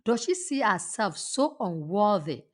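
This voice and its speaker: A woman speaks in a plain, mid-pitched voice, earnest and reflective as she tells a personal story. She often stumbles and repeats words before finding her point, like a motivational host thinking aloud. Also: sing-song, unhurried. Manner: earnest, with frequent restarts and repetitions.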